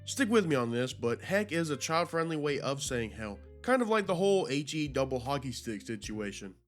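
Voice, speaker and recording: A man's voice: mid-range voice, big pitch swings, normal speed, faint music behind. A man hosting a video commentary, narrating in an informal, slangy, conversational way. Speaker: YouTube host.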